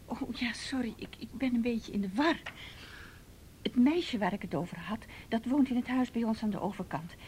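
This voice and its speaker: A woman acting in a radio play as a timid, flustered, chatty neighbourhood lady with a hesitant, confiding, breathy delivery. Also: a little high, normal speed, a little mellow. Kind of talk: naturalistic Dutch radio play dialogue.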